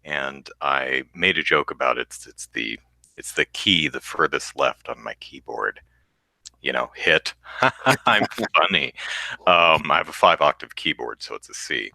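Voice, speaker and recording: A man with a deep bass voice, co-hosting a podcast in casual chat, rambling with lots of restarts. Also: animated, a little slow, creaky, bright, forceful tone, no echo, rough recording. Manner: rambling, self-interrupting with restarts, sings low demo notes. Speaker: podcast co-host.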